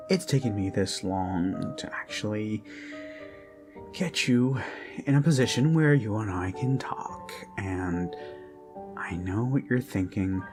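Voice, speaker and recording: A performer of unclear sex improvising an ASMR roleplay as an obsessed kidnapper who dotes menacingly on a captive, halting and slipping into whispers. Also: mid-range voice, expressive. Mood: creepy, coaxing. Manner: slow, halting, lapsing into whispers and giggles. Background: eerie background music.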